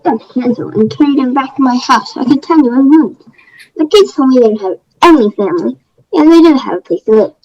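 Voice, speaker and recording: A woman's voice: high voice, animated, fast talker, a little mellow. A woman playing a prim, aggrieved fairy-tale woman, matter-of-fact and self-justifying, in readers theater. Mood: explaining. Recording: dull, lo-fi microphone sound.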